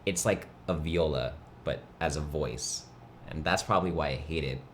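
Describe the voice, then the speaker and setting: Very deep, fry-laden, nasal man. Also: moderate pace, a little hiss or hum. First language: Mandarin. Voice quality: vocal fry. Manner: laid-back, rambling, reads chat aloud. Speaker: livestream host. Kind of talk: casual chat.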